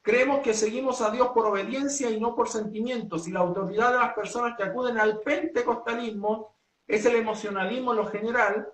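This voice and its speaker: A man from Chile, a pastor, setting out his church's principles in an earnest, measured way with many hesitations. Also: very high voice, steady tone, a bit bright, echoey room, far from the mic, poor audio. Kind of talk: doctrinal statement in a two-way talk.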